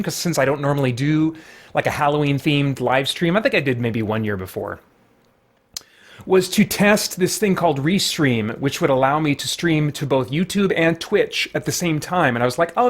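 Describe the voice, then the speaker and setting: Male live stream host, slightly high-pitched voice, loose and self-deprecating chatter. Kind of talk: casual live stream chat.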